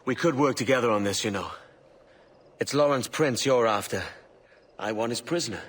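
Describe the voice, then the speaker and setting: An actor as a cocksure roguish young pirate. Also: male voice, mid-range voice, moderate pace, natural voice. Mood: coaxing. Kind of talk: cinematic video game acting.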